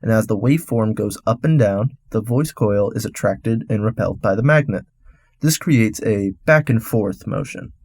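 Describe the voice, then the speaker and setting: A man's voice: lowish voice, normal speed, a little mellow, a little hiss or hum. A man hosting a radio segment, reading a scripted explainer in an instructional, step-by-step way. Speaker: radio host.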